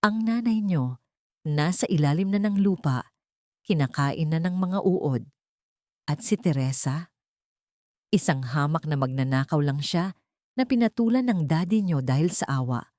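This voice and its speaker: A woman's voice: low-pitched, animated, a little slow, long pauses, a little mellow, no room sound, clean studio sound. A woman voicing a scheming, venomous socialite villainess in an audio drama, mixing Tagalog with English. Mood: cruel, threatening. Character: scheming, venomous young socialite villainess, desperate when cornered.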